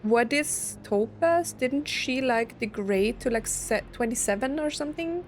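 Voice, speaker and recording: A woman's voice: on the high side, expressive, unhurried, a little hiss or hum. A young adult woman giving casual let's play commentary, chatty and thinking aloud as she goes. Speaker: game streamer. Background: game music.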